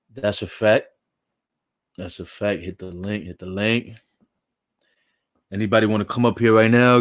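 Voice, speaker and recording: A raspy, low-voiced man hosts a live stream in a dry, close-miked sound. He talks loosely in street slang and thanks his viewers one by one. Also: dry recording. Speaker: live show host. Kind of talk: casual livestream chat.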